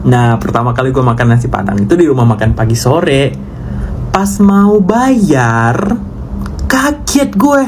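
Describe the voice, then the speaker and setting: A man's voice: medium pitch, sing-song, unhurried, background noise. Malaysian man telling a vlog story. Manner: storytelling, mixing English in. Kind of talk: vlog narration.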